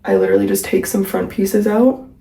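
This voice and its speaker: A woman's voice: mid-range voice, steady tone, a little fast, vocal fry, warm tone. A young woman vlogging at home, talking casually to the camera with a stuffy, congested voice and lots of "like" and "um" fillers. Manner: chatty, rambling, frequent "like" and "um". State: congested, recovering from a cold. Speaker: vlogger and pilates instructor. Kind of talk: casual vlog talk to camera.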